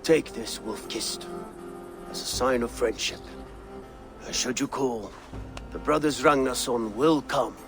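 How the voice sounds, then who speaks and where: An actor playing a proud, friendly pagan Viking war leader in a game cutscene, solemn and deliberate. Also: male voice, mid-range voice, music in the background, natural voice. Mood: warm pledge. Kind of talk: video game cutscene acting.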